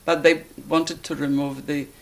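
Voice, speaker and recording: An elderly woman from Poland with a Polish accent, recalling her life in a halting, deliberate way as she is interviewed. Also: low voice, flat delivery, vocal fry. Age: 80s or older. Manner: halting, deliberate, searching for words. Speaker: interviewee, life-story witness. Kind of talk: personal reminiscence in an interview.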